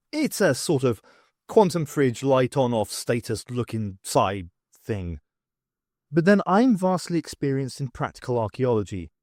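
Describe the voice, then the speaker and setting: A man reading a first-person story aloud as an expressive audiobook narrator, with wry, dramatic, emphatic phrasing. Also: a little high, dry recording, clean studio sound. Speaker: audiobook reader.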